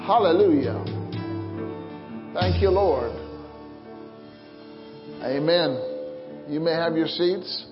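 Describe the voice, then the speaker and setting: Male pastor in church, talks real slow and prayerful, bit dull sound with soft music under. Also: on the high side, expressive, big-room sound. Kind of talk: worship leading and church confession. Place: church sanctuary.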